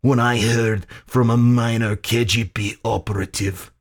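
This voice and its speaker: Man acting an oily, gloating general, slow and menacing. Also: medium pitch, steady tone. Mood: vengeful, gloating. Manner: sultry, theatrical, deliberate.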